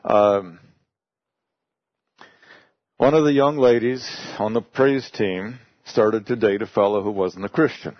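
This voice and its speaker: A man's voice: lowish voice, slow pace, pauses often, no room sound. A man whose first language is English, a missionary telling a congregation about his work in a folksy, conversational storytelling way. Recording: dull lo-fi recording.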